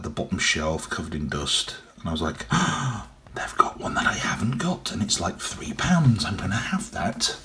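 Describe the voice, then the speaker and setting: A young man with a cold, his voice deep and gruff, chatting casually and rambling as he unboxes and reviews a toy. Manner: rambling, chatty, frequent ums. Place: indoors at a table, off camera.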